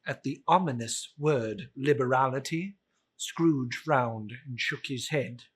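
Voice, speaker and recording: A man giving a theatrical, deliberate dramatic narration of a classic story. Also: mid-range voice, slightly rough. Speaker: narrator, reciter.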